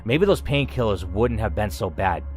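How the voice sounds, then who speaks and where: A man's voice: fairly low pitch, expressive, moderate pace. A man reading a story game aloud as channel host, moving between dramatic narration and casual asides. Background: faint music.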